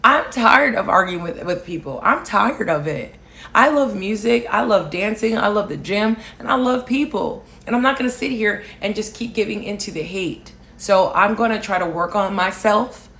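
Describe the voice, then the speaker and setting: A woman from Alaska, raised in Hawaii, hosting political commentary, talking loudly and emphatically and answering viewer comments. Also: medium pitch, expressive, normal speed, gravelly. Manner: loud, emphatic, rising to near shouting on key points.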